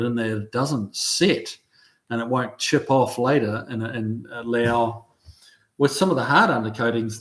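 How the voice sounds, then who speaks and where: A middle-aged New Zealand man talks through viewer comments on his livestream in an easygoing, halting way. He trips over his words and restarts often. His voice sits in an ordinary middle pitch for a man. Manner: relaxed, halting with many ums and restarts. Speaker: livestream host reading viewer chat. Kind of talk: casual chat.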